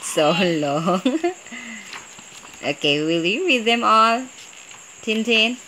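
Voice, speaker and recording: A woman's voice: medium pitch, animated, slow. A woman chatting casually with a young child, prompting and encouraging in an amused way, recorded on a phone in a bedroom. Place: small bedroom at home. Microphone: handheld phone. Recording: noisy home phone recording with insects.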